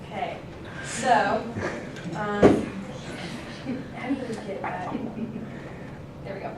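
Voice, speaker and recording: A woman's voice: mid-range voice, slow. A young adult woman giving an informal student speech in a classroom, casual and loosely worded, correcting herself. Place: indoor classroom. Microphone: distant camera microphone. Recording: very echoey, noisy room, distant mic.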